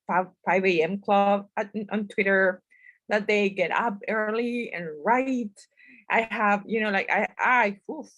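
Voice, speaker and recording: A woman's voice: medium pitch, a bit bright, no room sound. A woman from northern Mexico with a Mexican accent, a writer answering interview questions in a chatty, self-deprecating way and laughing often. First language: Spanish. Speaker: guest writer.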